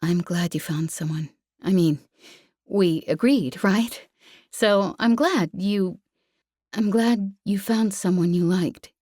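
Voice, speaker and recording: A woman voicing a tough but awkward young woman pilot in an audiobook, guarded and halting. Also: fairly low pitch, a little mellow, dry recording, clean studio sound. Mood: nervous, hurt. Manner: halting, muttering, emotionally guarded.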